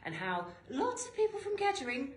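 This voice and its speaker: A woman's voice: high voice, sing-song, brisk. A woman, co-hosting a casual live-stream recap and praising people with enthusiasm. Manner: enthusiastic, gushing, laughs often. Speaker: campaign co-host. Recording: echoey room, faint hiss.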